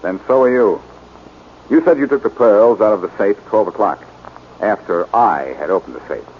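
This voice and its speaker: An actor playing a cocky, quick-witted American amateur detective, wry and confident, in 1940s radio style. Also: male voice, on the low side, close to an everyday voice. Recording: muffled, noisy 1940s radio recording.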